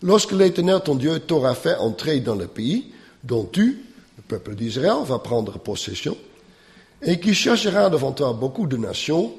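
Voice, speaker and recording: A man who is not a native speaker, giving a Bible lecture in a slow, halting way, often checking in with "hein?" and filling pauses with "euh". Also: fairly high pitch, big pitch swings, a little mellow, long reverb tail. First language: not French.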